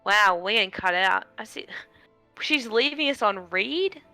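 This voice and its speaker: A woman chats casually as a stream co-commentator, reacting to the game. Her voice is bright and mid-pitched. Music plays faintly behind her. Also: expressive, unhurried, dry recording.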